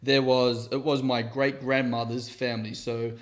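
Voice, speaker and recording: A man's voice: medium pitch, little pitch movement, moderate pace. A man from New Zealand, a podcast co-host telling a family story in an easygoing, casual way. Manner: easygoing storyteller, frequent agreeing murmurs. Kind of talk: casual chat.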